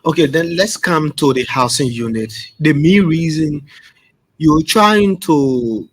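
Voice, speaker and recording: A man with a Liberian accent hosting a morning radio show, interviewing his guests with short, steady questions. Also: a little high, takes it slowly, no echo. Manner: brief prompts and steady questions.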